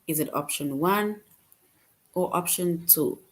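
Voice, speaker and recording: A woman with a low voice teaches a children's lesson on punctuation. She speaks slowly and patiently, reading each answer option aloud before picking the right one. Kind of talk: online grammar lesson for children.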